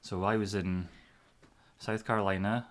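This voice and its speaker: A man's voice: lowish voice, level tone, measured pace, a little hiss or hum. A Scottish man from Scotland, a guest in a casual chat, with a dry, teasing humor.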